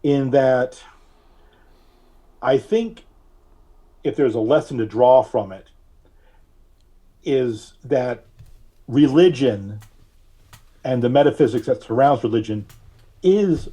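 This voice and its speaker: Male interview guest. Dark, slightly raspy voice, mid pitch, conversational and searching for words. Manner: halting, searching for words, thoughtful. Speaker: podcast guest, artist and reverend.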